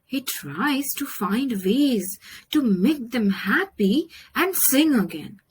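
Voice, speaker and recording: A woman with an Indian accent reading a children's story aloud, expressively and deliberately, with dramatic pauses. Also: a little high, very animated, slight background noise. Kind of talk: children's picture book read-aloud.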